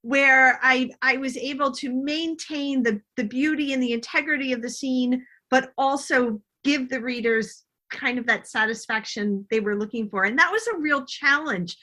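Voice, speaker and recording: A middle-aged woman speaks as an interview guest in a conversational style. Her voice is very bright and forward, and slightly higher than average. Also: normal speed, hardly any pauses. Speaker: podcast guest, novelist.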